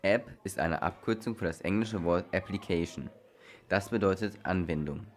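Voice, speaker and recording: A man's voice: low voice, normal speed, slight background noise. A man presenting on a children's radio programme, reading a scripted factual report.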